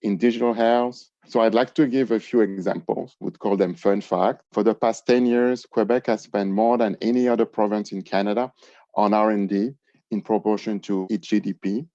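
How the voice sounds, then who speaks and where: A man advising on business development, giving measured, informative answers in a podcast interview. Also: on the low side, steady tone, mellow, no room sound, dull audio.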